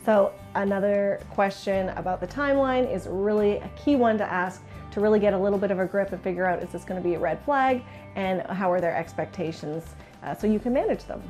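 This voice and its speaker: A woman's voice: medium pitch, brisk, a little mellow, faint music behind. Canadian woman coaching in a chatty way. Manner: chatty, candid, acts out client remarks. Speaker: business coach for interior designers. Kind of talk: informal instructional video to camera.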